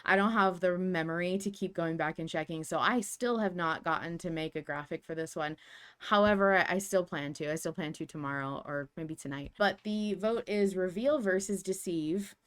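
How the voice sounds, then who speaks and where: A woman's voice: fairly low pitch, normal speed, fairly bright tone, smooth. A young adult woman co-hosting a casual podcast, chatty and self-interrupting, restarting her sentences and laughing often, talking into a desk mic at home. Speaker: podcast co-host.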